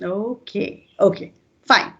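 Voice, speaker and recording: A woman's voice: medium pitch, slow pace, vocal fry, a bit bright, lo-fi sound. An older woman with an Indian accent whose first language is Hindi, a retired teacher chatting in a patient, teacherly way with fillers. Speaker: retired teacher and channel host. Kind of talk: casual interview conversation.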